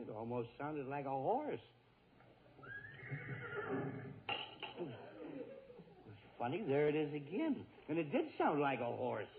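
An actor playing an exasperated, easily rattled middle-aged husband in a 1940s radio comedy. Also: male voice, a little high, full character voice. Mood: grumbling, puzzled. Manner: exasperated, flustered, blustering. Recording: muffled, tinny 1940s radio recording.

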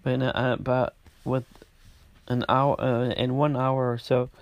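A man hosting his own podcast, talking casually about his week, congested and halting with many restarts. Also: medium pitch, level tone, no room sound. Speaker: podcast host. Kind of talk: casual personal update.

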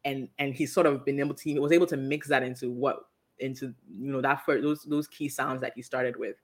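A woman's voice: a bass voice, steady tone, brisk. Woman hosting a conversational music podcast. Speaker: podcast host.

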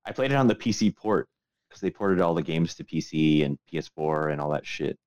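Very low-voiced man chatting casually. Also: lively intonation, brisk, darkish tone, no echo, dull audio. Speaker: podcast host. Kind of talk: casual chat, profane.

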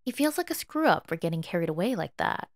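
A woman narrates a story in an expressive, dramatic way. Her voice sits a little low for a woman and moves through a wide, animated range. The recording is close and dry. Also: fast talker, no echo. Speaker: fan fiction audiobook reader.

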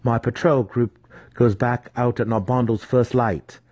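A man reads as a young dark elf warrior in a fantasy story, slightly raised from a low, husky register. His answers are curt and cool, guarding his resentment. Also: steady tone, a little slow, dry recording. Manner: nonchalant, curt.

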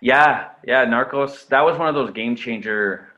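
A Canadian-raised actor whose first language was German, chatting casually in an interview with lots of fillers and restarts. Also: male voice, medium pitch, a little slow, muffled recording. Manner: relaxed, rambling with many fillers and restarts.

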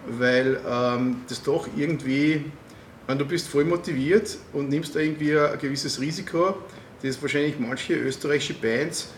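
A middle-aged man with an Austrian accent, interviewing a guest in a hesitant, measured way with many fillers. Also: a little high, reverberant, a little hiss or hum. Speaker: interviewer and music booker. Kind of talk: casual interview chat.

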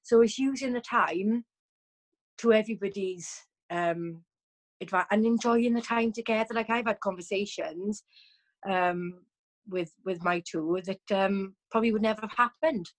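A woman's voice: mid-range voice, normal speed. A woman talking casually as a guest over a video call, chatty, with frequent ums and restarts.

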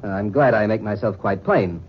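A man's voice: fairly low pitch, brisk, slight background noise, lightly acted. A man acting in a 1940s radio drama as a wronged, earnest husband, formal and stiffly dignified. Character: wronged, earnest gentleman husband, indignant and defiant. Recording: muffled 1940s radio recording.

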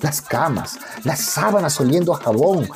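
A man's voice: a little high, animated, normal speed, soft background music. A man narrating a radio soap opera in a dramatic, florid, suspenseful scripted style.

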